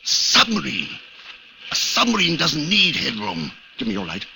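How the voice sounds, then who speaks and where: A man's voice: a little high, wildly swooping pitch, a little slow, vocal fry, background noise, exaggerated voice. An actor playing a hunted man who narrates his spy adventure in a tense, dramatic old-time radio style. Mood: urgent. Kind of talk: 1940s radio acting, dramatic first-person narration. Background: music.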